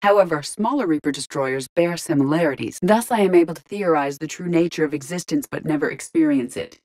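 A woman voicing a calm, curious, literal-minded female ship artificial intelligence in a video game, speaking formally and precisely. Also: low-pitched. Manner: measured, formal, precise diction. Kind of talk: scripted video game character dialogue.

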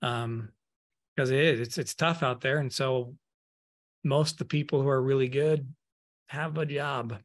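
A man's voice: medium pitch, a little slow, long pauses. A man in his thirties, an accounting firm owner, speaking candidly and emphatically as a guest on a podcast, recorded over a video call in an office. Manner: candid, emphatic, speeds up when animated. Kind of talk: casual podcast interview. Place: office room. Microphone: video call webcam.

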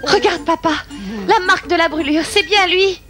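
Woman as a high-voiced, earnest young heroine. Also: moderate pace, a bit bright, soft background music. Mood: pleading. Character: sweet, earnest young woman, professor's daughter.

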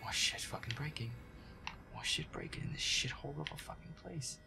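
A man voicing a feisty, grumpy young werewolf boyfriend in a gameplay roleplay, muttering irritably and swearing to himself in a breathy voice. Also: a little high, animated, a little fast, background noise. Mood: alarmed, exasperated. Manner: foul-mouthed, irritable muttering with nervous outbursts.

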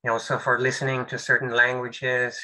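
Bright-voiced man with many fillers. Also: mid-range voice, monotone, poor audio. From United States. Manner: halting, full of fillers and restarts. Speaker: presenter. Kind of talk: webinar presentation. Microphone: video call.

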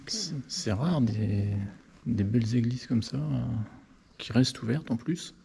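A man's voice: mid-range voice, animated, measured pace, gravelly, dark tone, slight background noise. A man in his fifties, a motorcycle vlogger, chatting to the viewer as he explores a church and points things out. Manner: chatty, marvelling, talks to the viewer directly. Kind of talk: casual walking tour commentary. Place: inside a stone church and tower. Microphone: action camera held close.

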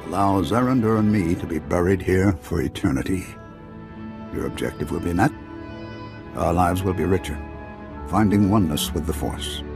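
Serene, aloof mystic master for a game: low voice, formal and philosophical, music underneath. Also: male voice, normal speed, long pauses. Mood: serene, resolute. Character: serene, aloof Jedi master, transcendent and proud. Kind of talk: video game voice acting.

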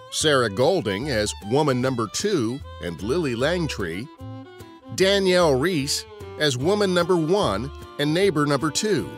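Lively American male podcast host. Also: a little high. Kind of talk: scripted narration. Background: guitar music.

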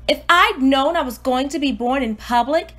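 A woman voicing a precocious, self-important newborn baby diarist, earnest and exclamatory, in an expressive storybook read-aloud. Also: high-pitched, normal speed. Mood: indignant surprise.

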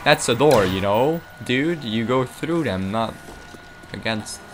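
A man's voice: medium pitch, animated, slow. A young man giving casual, rambling, self-mocking commentary while playing a game. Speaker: gameplay commentator. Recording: noisy game audio behind.

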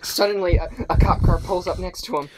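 A man's voice: high-pitched, steady tone, fairly quick, background noise. A man in his twenties casually narrating an improvised story on a video call. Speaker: improv podcast performer. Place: home room, video call.

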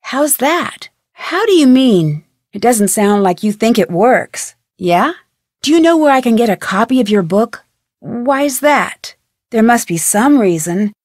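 A woman's voice: on the high side, very animated, unhurried, darkish tone, dry recording, studio quality. An actress playing a curious, polite and determined young female detective in scripted, conversational video game dialogue. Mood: inquisitive.

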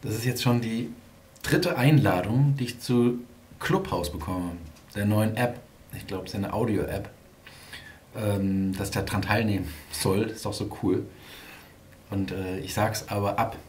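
A man's voice: lowish voice, measured pace, a little mellow. Casual male vlogger, noisy background. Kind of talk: casual vlog chat. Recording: clearly audible ambient background noise.

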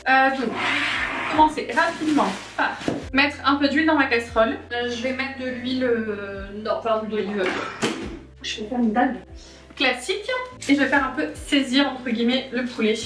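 A woman's voice: sits high, steady tone, moderate pace, far from the mic, noisy background. Young woman vlogger, chatty and loose, recovering from illness; roomy kitchen echo. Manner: chatty, rambling, many fillers and restarts. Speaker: cooking vlogger. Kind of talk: casual chat while cooking. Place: home kitchen, rain outside. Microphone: far camera. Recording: echoey kitchen, voice off-mic.

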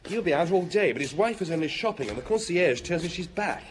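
Man playing a lively, high-voiced junior police inspector. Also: quick pace, lightly acted. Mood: eager reporting. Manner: conversational, reporting to his superior. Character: keen junior police inspector, deferential, brisk, eager. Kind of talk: radio drama acting. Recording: radio drama with background ambience noise.

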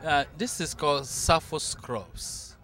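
A man's voice: fairly high pitch, takes it slowly, bright, forceful tone. Man, Ghanaian accent, middle-aged, earnest, plain-spoken, outdoor festival, handheld mic. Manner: earnest, plain-spoken, adds short "yeah". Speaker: nurse and nonprofit organizer. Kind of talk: festival interview. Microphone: handheld mic held by interviewer.

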